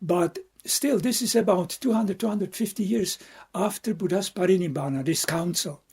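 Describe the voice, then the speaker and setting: A Buddhist monk from the Czech Republic, speaking with a Czech accent in a reflective dharma talk, halting and searching for words with frequent soft laughs. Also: male voice, high-pitched, husky.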